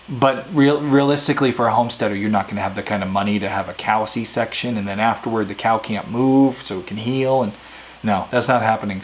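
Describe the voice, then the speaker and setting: A man hosting a homesteading Q&A, answering viewer questions in a casual, rambling way with a hoarse voice. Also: mid-range voice, a little hiss or hum. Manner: rambling, conversational, plain-spoken. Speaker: homesteading channel host.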